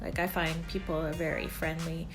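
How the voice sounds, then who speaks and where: Canadian woman, 30s, lower-pitched, talkative co-host who walks through examples. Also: level tone, normal speed, soft background music. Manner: chatty, explains with examples. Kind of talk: casual chat.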